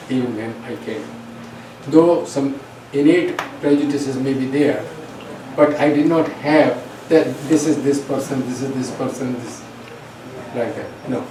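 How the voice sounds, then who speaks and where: Older indian-accented guy, hindi native, halting repeaty phrasing, roomy echo from a far mic, hiss behind. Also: mid-range voice. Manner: hesitant, repeats words, short phrases. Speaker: yoga teacher. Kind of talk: reminiscing in a recorded conversation. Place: bookstore event room. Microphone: distant microphone.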